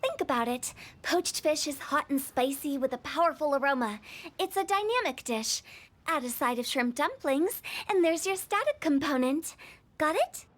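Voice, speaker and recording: Cheeky, offbeat young woman for a game: girlish, theatrical, very high. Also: lively intonation, moderate pace. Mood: confident, opinionated. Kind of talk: video game voice acting.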